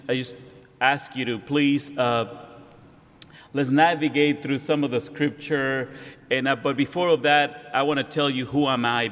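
A middle-aged man from Mexico with a Mexican accent, preaching as a guest pastor in a halting, self-deprecating way with many restarts. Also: medium pitch, fairly bright tone. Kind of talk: sermon. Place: large church sanctuary. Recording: long hall reverb.

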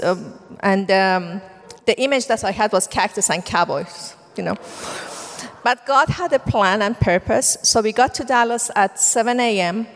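A middle-aged woman with a Persian accent speaks at a medium pitch, telling her life story in an earnest, unhurried way with frequent "um"s. Her voice rings with the long reverb of a large hall. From Iran. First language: Farsi. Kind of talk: personal testimony talk.

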